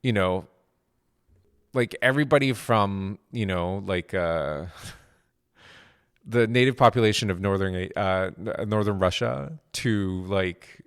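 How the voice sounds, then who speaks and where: Man, middle-aged, from Japan, interview guest, very low voice, rambling. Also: takes it slowly, clear, resonant tone. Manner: rambling, many fillers and restarts. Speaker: podcast guest, game studio co-founder. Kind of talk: casual interview conversation.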